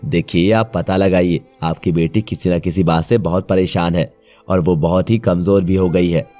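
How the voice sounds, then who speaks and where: A man voicing a stern, concerned doctor in an animated moral story, questioning and advising gravely. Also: sits low, normal speed, soft background music, voice pushed down, natural voice.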